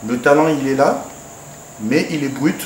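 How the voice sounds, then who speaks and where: A man's voice: medium pitch, moderate pace, a little mellow. A man, a former football player, giving a formal, hesitant address to a governor, with many fillers and restarts. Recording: echoey room, background noise.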